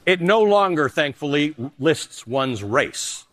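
A middle-aged man from Canada, a news anchor delivering emphatic political commentary and a personal essay, dropping low for personal asides. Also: lively intonation, takes it slowly, fairly bright tone, dry recording.

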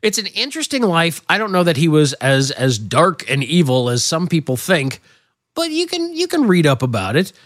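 A man co-hosting a talk show in casual, jokey banter, his voice gravelly from a cold. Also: fairly high pitch, moderate pace, no echo, clean studio sound. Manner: jokey, rambling, lively. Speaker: co-host of a political talk show.

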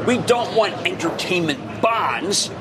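Excitable male market pundit, high lively voice, live from a noisy exchange floor. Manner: excitable, emphatic, interrupts with quick asides. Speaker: market commentator. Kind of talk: live financial TV banter.

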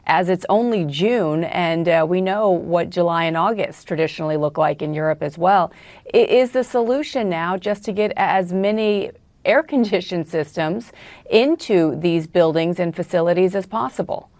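A woman news interviewer with a fairly low voice, recorded close and dry. She asks formal broadcast questions, building up context before each one. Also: normal speed, darkish tone, dry recording.

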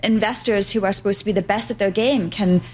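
A woman works as a journalist host, interviewing in a conversational style. Her voice is of average pitch for a woman, and she both questions and comments. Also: steady tone, quick pace, a little hiss or hum. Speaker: news show host and journalist.

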